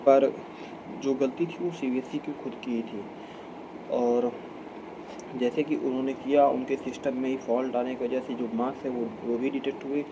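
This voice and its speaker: An Indian man giving an informal explainer as a video host, halting and repeating himself as he corrects his phrasing. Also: mid-range voice. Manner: halting, repetitive phrasing with frequent self-corrections. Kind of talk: informal explainer talk to camera. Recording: clear steady background hum and ambient noise.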